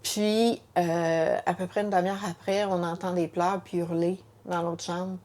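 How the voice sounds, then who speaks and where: A woman's voice: lowish voice, flat delivery, unhurried, darkish tone. A middle-aged woman speaks with a Quebec French accent. She tells a personal story in conversation, stopping often and repeating words, with many fillers between her phrases. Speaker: mother telling her story. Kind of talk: emotional personal testimony in conversation.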